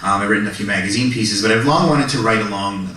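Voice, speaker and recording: An earnest man with a low yet bright, forceful voice talks about his writing, repeating words as he stammers along. He is recorded from far off in a very echoey library hall with a faint hiss. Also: quick pace. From Canada. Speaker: panel speaker, writer. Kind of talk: festival panel talk. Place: public library event hall. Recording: echoey hall, distant mic, faint noise.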